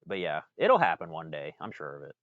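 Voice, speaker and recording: A man's voice: very deep voice, very animated, brisk, fairly bright tone, dry recording. A man in his mid-twenties co-hosting a podcast, talking in a laid-back way, saying "dude" a lot and laughing easily. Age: 20s. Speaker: podcast co-host. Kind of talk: casual chat. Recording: dull lo-fi podcast audio, dry.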